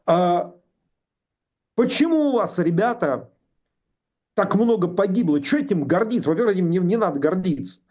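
A man in his sixties talking politics, indignant and sarcastic, with halting speech full of pauses and fillers. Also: high voice, lively intonation, a little mellow. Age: 60s. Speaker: political commentator. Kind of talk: political discussion.

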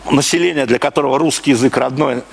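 A man's voice: medium pitch, fast talker. A man from Russia giving a live public lecture, speaking emphatically with repeated phrases, rhetorical questions and broken-off sentences. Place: live talk before an audience. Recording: clearly noisy live recording.

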